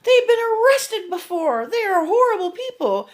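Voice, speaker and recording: Woman putting on shrill, judgmental protesters. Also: big pitch swings, moderate pace. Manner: chanting, accusatory.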